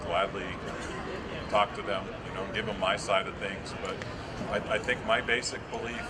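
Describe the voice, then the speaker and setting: A middle-aged man from Massachusetts talks in a naturally loud, casual voice. His pitch is lowish. Heavy traffic noise outside nearly buries him. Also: steady tone, moderate pace. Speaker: festival speaker. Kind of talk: outdoor public speech. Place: outdoors by a street. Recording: outdoor speech with loud traffic.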